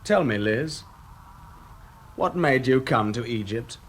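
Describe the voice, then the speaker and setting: An actor playing a sarcastic, menacing, unhinged brother in a radio drama, husky and theatrically taunting. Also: male voice, medium pitch, expressive, slow pace, fairly bright tone, slight background noise. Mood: sarcastic, sinister. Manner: theatrical, taunting, swinging from silky to explosive.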